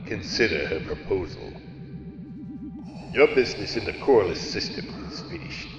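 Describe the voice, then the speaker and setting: A man in an audio drama playing a menacing, scheming old dark lord, imperious and deliberate. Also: sits low, expressive, reverberant, lo-fi sound, music in the background. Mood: commanding.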